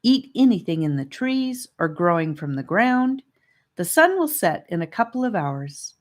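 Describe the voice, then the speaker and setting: A woman's voice: fairly low pitch, very animated, measured pace. A woman voicing a friendly, proud boy guide from a fantasy world in a children's audiobook.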